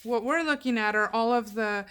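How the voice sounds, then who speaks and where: A woman's voice: on the high side, normal speed, a bit bright, clean tone. A woman in casual discussion, teacherly, prompting with questions and corrections.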